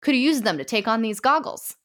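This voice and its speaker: A woman voicing a shrill, talkative old female ghost with a scheming streak. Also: animated, brisk, a bit bright. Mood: sly gossipy. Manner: talkative, sly.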